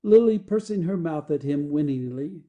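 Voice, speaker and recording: Very dark, low-toned female narrator. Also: lively intonation, normal speed. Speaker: narrator reading stage directions. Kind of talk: scripted narration.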